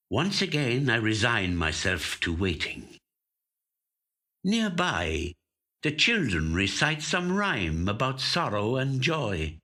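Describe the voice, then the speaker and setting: An actor voicing an aging, watchful, covetous magpie in measured, deliberate first-person literary storytelling. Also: male voice, fairly low pitch, a bit bright, exaggerated voice. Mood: weary, resigned. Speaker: actor reading a short story. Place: recording studio.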